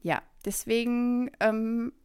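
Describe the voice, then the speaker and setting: A woman's voice: a little high, level tone, slow, darkish tone, smooth, no room sound, studio quality. Chatty woman, non-native German speaker. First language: not German. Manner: chatty, laughing, stumbles over German words. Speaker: podcast co-host. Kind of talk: casual podcast chat.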